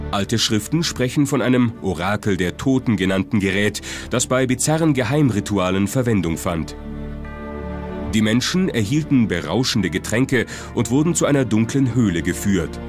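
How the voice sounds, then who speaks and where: A man narrates a documentary in with a low voice. His delivery is scripted, measured and dramatic, and music plays clearly behind him. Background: prominent background music.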